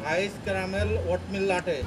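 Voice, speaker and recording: A man's voice: high-pitched, steady tone, normal speed. A man working a drive-thru window, tersely taking and repeating an order, picked up from inside a car. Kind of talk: customer service order-taking. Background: music, street and vehicle noise.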